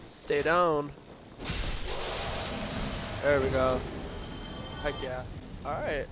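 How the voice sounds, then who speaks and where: A man with a bit of a cold, sniffling as he gives relaxed, rambling commentary over his gameplay, with lots of fillers and restarts. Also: a little high, drawn-out words, noisy background. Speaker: gaming commentator.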